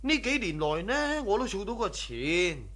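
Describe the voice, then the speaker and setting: Swaggering crook, animated and bright-voiced. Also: male voice, high-pitched, moderate pace, heavily acted. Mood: scheming, persuasive. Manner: boastful, persuasive, worldly. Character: swaggering, scheming drug-running cousin, a carefree womanizing man. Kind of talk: Cantonese radio drama acting.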